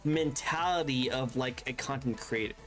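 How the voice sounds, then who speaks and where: A man's voice: medium pitch, normal speed, fairly bright tone, soft background music. A man hosting a casual online interview, talking in a loose, rambling way full of fillers and encouragement. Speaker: interview host.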